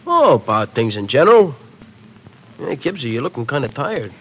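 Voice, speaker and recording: A man's voice: mid-range voice, big pitch swings, normal speed, fairly bright tone, husky, rough recording. An actor playing a slangy, pushy, streetwise young man in an old radio drama. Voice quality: slangy tough-guy speech. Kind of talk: 1940s radio acting.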